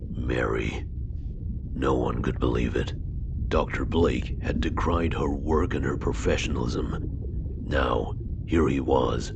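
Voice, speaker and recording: An actor playing a jealous, lovesick male station technician, narrating in a hushed, brooding way that sometimes drops to a whisper. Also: very deep voice, creaky, exaggerated voice. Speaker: narrator of an audio drama. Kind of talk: first-person audio drama narration. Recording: noisy ambient soundscape behind.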